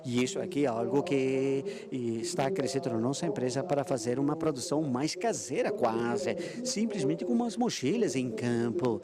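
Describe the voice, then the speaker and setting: A man from Israel with an Israeli accent, giving a conference presentation and often halting and repeating words while he searches for them. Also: mid-range voice, expressive, over a noisy crowd.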